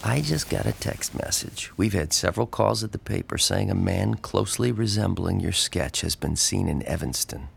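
An actor playing an easygoing, protective male newspaper reporter in an intimate audio drama, with a gravelly voice and a casual, wry manner. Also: sits low, expressive, normal speed, few pauses, slight background noise, full character voice. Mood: wry, confiding. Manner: casual, wry, hesitant at tender moments.

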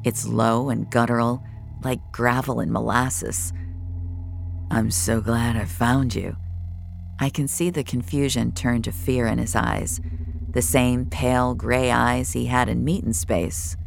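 A woman playing a cold, gloating serial killer who narrates an audio drama in a measured, menacing way. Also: a bass voice, level tone, creaky, soft background music, exaggerated voice. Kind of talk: first-person audio fiction narration. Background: dramatic score.

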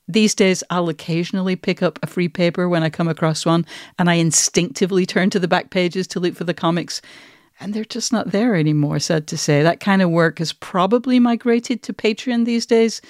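A woman's voice: fairly low pitch, darkish tone, no room sound. Articulate woman host, dry close sound. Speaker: podcast host and writer. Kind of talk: thoughtful conversational commentary.

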